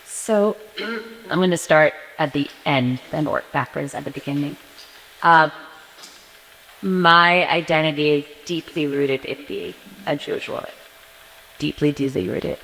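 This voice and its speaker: Low-voiced woman speaking in an echoing hall. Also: measured pace, long pauses, fairly bright tone. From northeastern United States, near New York City. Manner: earnest, repeats phrases for emphasis. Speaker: forum panelist. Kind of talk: personal testimony at a panel. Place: conference hall. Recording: reverberant hall sound.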